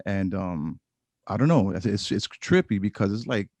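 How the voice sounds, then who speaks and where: Laid-back low-voiced podcast host. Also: male voice, expressive, moderate pace, a little mellow, no room sound. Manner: loose and rambling, many fillers. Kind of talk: casual podcast chat.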